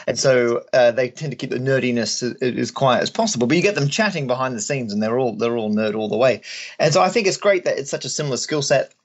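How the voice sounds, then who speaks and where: A man's voice: medium pitch, fast talker. A man with an Australian accent giving conversational, wry and jokey answers as an interview guest. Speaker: guest, stand-up comedian and mathematician.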